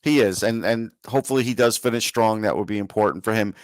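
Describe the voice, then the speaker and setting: A man's voice: on the low side, fast talker, no echo. A man co-hosting a sports podcast, talking through analysis in a conversational way.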